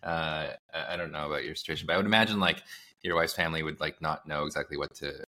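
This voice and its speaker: A man's voice: rumbling low, fairly even intonation, fast talker, sharp tone. A man in his thirties from the United States, a startup founder telling his story in a casual interview, rambling with fillers and self-corrections.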